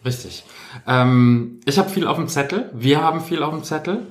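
A man's voice: a little high, expressive, normal speed. Playful teasing podcast co-host man. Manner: playful, teasing, hesitant fillers. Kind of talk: casual chat. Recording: roomy echo.

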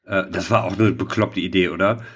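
Halting, casual man. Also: low voice, fairly even intonation, husky. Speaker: podcast co-host. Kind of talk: casual, rambling chat with a co-host.

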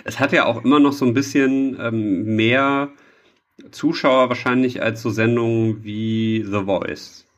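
A man's voice: mid-range voice, fairly even intonation, unhurried, dull audio. A man bantering casually as a podcast co-host, with an ironic, joking manner.

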